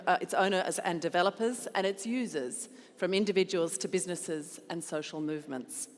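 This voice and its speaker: Low-voiced woman introducing a speaker. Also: long reverb tail. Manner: measured, with small restarts. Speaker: event chair.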